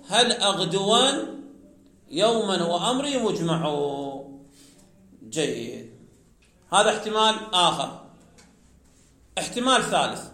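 A man's voice: high-pitched, sharp tone, roomy sound. A man teaching Arabic grammar in a classroom lecture, explaining step by step and asking his students questions that he then answers himself.

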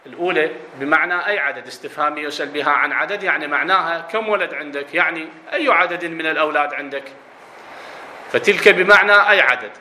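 Iraqi male teacher, bright, raised voice, quizzes his class, echoey room. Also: on the high side, fast talker, slight background noise. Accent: Iraqi Arabic. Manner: explanatory, asks students questions, slips into dialect. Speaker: grammar teacher. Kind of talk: classroom lesson on Arabic grammar.

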